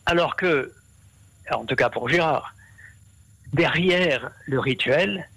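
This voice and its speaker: A man's voice: a little high, slow, vocal fry, fairly bright tone, slightly rough, dry recording, poor audio. A man explaining ideas at length in an interview, with many fillers and restarts. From half Brazilian. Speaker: interview guest, scholar.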